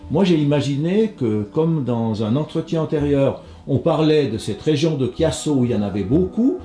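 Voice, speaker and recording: A man's voice: a little high, expressive, normal speed, warm tone, reverberant, soft background music. A man interviewing a painter, asking polite, formal questions and murmuring short acknowledgements.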